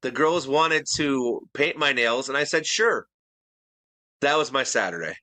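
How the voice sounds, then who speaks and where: A man's voice: medium pitch, lively intonation, measured pace, pushed and bright, no room sound. A man co-hosting a podcast in casual, bantering conversation. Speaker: podcast co-host.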